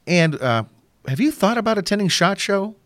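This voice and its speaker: A man's voice: sits high, expressive, moderate pace, fairly bright tone, dry recording, clean studio sound. Chatty joking male podcast host. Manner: chatty, jokey, rambling with restarts. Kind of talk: casual interview chat.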